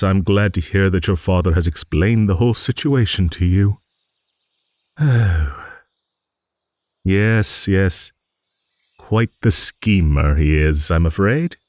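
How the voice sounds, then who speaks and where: A man voicing an ancient, genial dragon in an improvised fantasy audio roleplay, rambling and musing like a refined, hesitant old gentleman. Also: sits low, dry recording. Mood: reassuring, sheepish.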